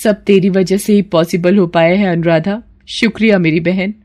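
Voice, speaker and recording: A woman's voice: on the low side, fairly even intonation, moderate pace, darkish tone, natural voice. A woman storyteller playing a young married woman who speaks earnestly and politely as a patient and friend. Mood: grateful.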